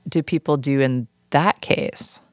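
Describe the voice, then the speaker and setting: A man's voice: a little high, fairly even intonation, takes it slowly, no echo, clean studio sound. A man hosting a podcast interview, talking informally and easing his way into questions. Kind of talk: casual conversational interview.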